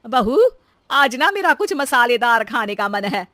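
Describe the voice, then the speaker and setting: A woman's voice: a little high, very animated, unhurried, sharp tone, dry recording, studio quality, exaggerated voice. A woman voicing a demanding, nosy elderly mother-in-law with a bossy, wheedling manner in story dialogue.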